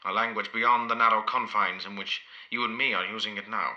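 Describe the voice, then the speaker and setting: Proud ageing poet, sharp-edged voice. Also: male voice, lowish voice, steady tone, muffled recording. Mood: reflective, guarded. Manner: measured, rambling, literary, deliberate. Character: ageing imprisoned modernist poet, proud, reminiscing, wounded dignity. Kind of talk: audio-play acting.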